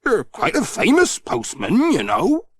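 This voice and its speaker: A genial hill farmer, as a male storyteller reads him, close to the narrator's own voice but low and knowing, with a slow, dry delivery. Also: wildly swooping pitch, slightly rough, no room sound, exaggerated voice. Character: genial hill farmer, middle-aged man.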